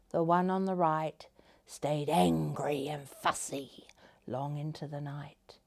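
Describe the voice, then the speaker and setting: A woman reading a children's story aloud in an expressive, dramatic manner, dropping into hushed tones for effect. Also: mid-range voice.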